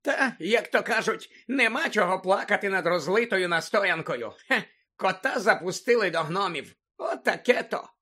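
A man's voice: sits high, expressive, moderate pace, pushed and bright, full character voice. A man voicing a cranky, frantic old woman from a storybook, scolding and bossy in a screechy way. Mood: fretful, bossy.

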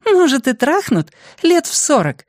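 Young girl, storybook character played by a man: raised pitch, tentative yet tough, thoughtful, close dry sound. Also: huge pitch swings, unhurried, no room sound, exaggerated voice. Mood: teasing.

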